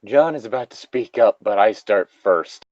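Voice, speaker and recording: A man bantering casually and reading a story aloud haltingly, recorded on a slightly dull mic. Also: on the low side, lively intonation, a little mellow, dry recording.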